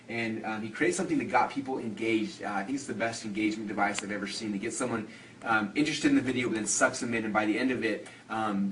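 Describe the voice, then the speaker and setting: A lower-voiced man gives an off-the-cuff customer testimonial. He talks quickly and enthusiastically, feeling for his phrases. The mic sounds distant. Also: a bit bright. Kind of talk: unscripted spoken testimonial. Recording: distant mic with clear background noise.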